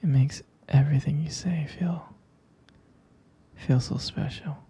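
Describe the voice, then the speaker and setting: A man playing a tender, devoted boyfriend in an intimate ASMR roleplay, speaking in a breathy, husky whisper and lingering dotingly over his words. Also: a little high, fairly even intonation, measured pace, warm tone. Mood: adoring.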